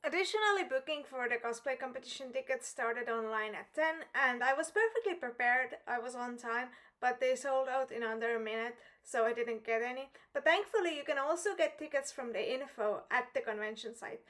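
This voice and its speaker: A woman's voice: sits high, fairly quick, hardly any pauses, fairly bright tone. A young woman narrating her convention vlog as a voice-over, bubbly and piling on "really" for emphasis. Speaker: vlogger.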